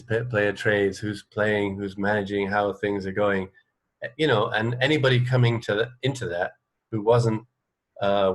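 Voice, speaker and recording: Low-pitched English man hosting radio chat. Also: low-quality recording. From England. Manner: rambling, hesitant, self-correcting. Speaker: radio show host and interviewer. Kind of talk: casual rambling radio interview chat.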